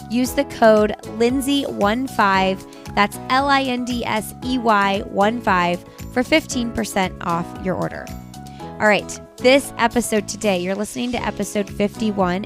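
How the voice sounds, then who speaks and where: A woman's voice: mid-range voice, measured pace. An American woman hosting a parenting podcast, reading ads and interviewing in an upbeat, enthusiastic way. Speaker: podcast host. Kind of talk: host intro, sponsor read and interview. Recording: music playing behind the voice.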